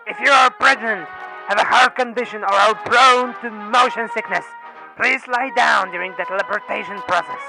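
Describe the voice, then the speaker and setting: Smug cartoon invader's herald: extremely high, croaky, pompously courteous, over music. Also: male voice, normal speed, pauses often, heavily acted, rough recording. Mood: smug, menacing. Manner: pompous, mock-courteous announcer delivery. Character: smug alien henchman announcer, gleefully bureaucratic invader. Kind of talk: fan dub villain announcement. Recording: loud music behind.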